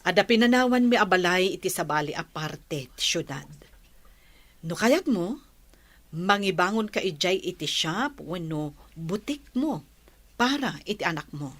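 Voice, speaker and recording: A woman's voice: fairly low pitch, lively intonation, measured pace, fairly bright tone. A woman playing a kindly, well-off benefactor in an Ilocano radio drama, speaking in an encouraging, reassuring way. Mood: warm, encouraging.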